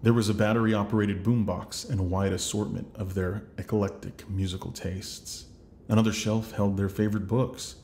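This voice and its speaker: A man's voice: fairly low pitch, little pitch movement, moderate pace, darkish tone, a little hiss or hum. A man working as a voice actor, reading scripted narration for a demo. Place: home recording setup.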